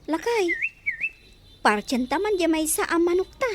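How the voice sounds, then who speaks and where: A woman's voice: very high-pitched, big pitch swings, normal speed, noisy background. A female actor playing a chatty, teasing village wife in a radio drama, gossipy and exclaiming, with an Ilocano accent. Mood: concerned, chatty. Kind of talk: Filipino radio drama acting.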